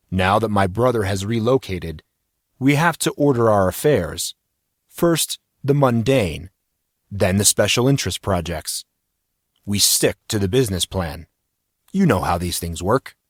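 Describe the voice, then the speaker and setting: A man performs a smug, powerful businessman villain from an audio drama. The voice is heavy and husky, with a dismissive, condescending way of talking. It is recorded dry and close. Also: fairly low pitch, no room sound.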